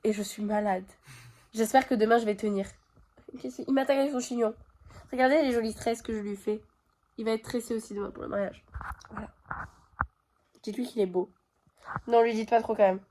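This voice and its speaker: A woman's voice: a little high, fairly even intonation, fairly quick, long pauses, noisy background. A woman vlogging from her bedroom at home with a stuffy nose from a cold, chatting straight to her viewers with plenty of fillers. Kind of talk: casual chat to camera.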